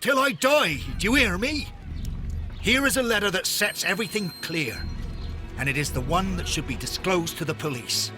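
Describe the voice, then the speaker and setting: Lovelorn Victorian sea captain, melodramatic, higher-pitched, swinging intonation, game drama, music behind. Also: male voice, lively intonation, normal speed. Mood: desperate, defiant. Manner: impassioned, melodramatic. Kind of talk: dramatic video game acting.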